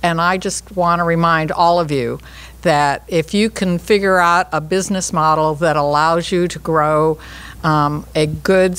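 A woman in her sixties, an angel investor speaking in a measured way with frequent ums on a conference panel. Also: deep voice, fairly bright tone. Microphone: stand mic.

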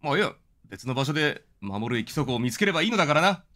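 A man's voice: a little high, very animated, fast, pushed and bright, faint music behind, voice pushed down. A man voicing a pompous, strict park keeper in video game dialogue, officious and commanding.

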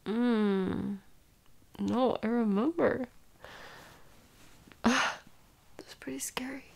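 A woman playing a sleepy, sick, clingy girlfriend in an intimate audio roleplay, speaking softly and haltingly, often near a whisper. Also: on the high side, gravelly, exaggerated voice. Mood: sleepy, musing.

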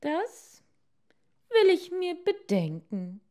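Woman acting a greedy, imperious wife, high-pitched. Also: wildly swooping pitch, drawn-out words, darkish tone, exaggerated voice. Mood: haughty. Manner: demanding, haughty. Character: greedy, imperious fisherman's wife craving ever more power.

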